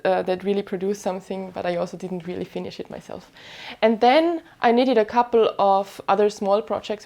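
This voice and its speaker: A woman's voice: mid-range voice, a little mellow. Austrian-accented woman, reflective and casual. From western Austria. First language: Vorarlbergerisch Alemannic German. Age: 30s. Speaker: podcast host. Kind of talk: casual knitting vlog chat.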